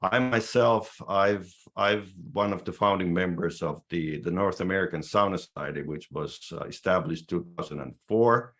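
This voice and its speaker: A man's voice: sits low, normal speed, few pauses, fairly bright tone. A man in his sixties with a Finnish accent, a native Finnish speaker hosting a casual podcast and often restarting his phrases. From Finland. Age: 60s. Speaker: podcast host.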